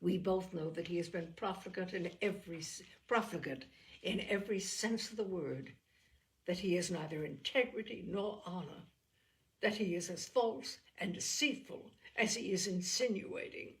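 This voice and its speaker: A woman voicing an earnest, indignant young Regency gentlewoman in a novel reading, speaking formally and emphatically. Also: lowish voice, normal speed, natural voice.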